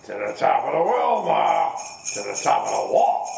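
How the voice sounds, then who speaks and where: A man playing a hard-boiled, smooth-talking, menacing gangster Santa Claus in a noir radio comedy, with a gravelly voice. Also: fairly low pitch, huge pitch swings, normal speed, darkish tone, full character voice. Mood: commanding. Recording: roomy, faint music behind.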